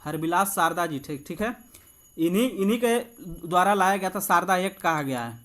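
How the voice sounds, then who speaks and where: A man's voice: sits high, animated, brisk, a bit bright. A man coaching exam students, explaining quiz answers in an instructive way and addressing viewers familiarly. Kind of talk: quiz walkthrough lesson with explanations.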